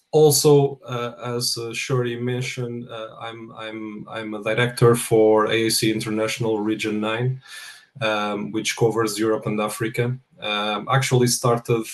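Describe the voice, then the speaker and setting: A middle-aged man with a Portuguese accent speaks as a guest in an interview. His voice is low and flat in intonation, and his delivery is halting, with repeated words as he introduces himself. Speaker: guest speaker, project controls professional.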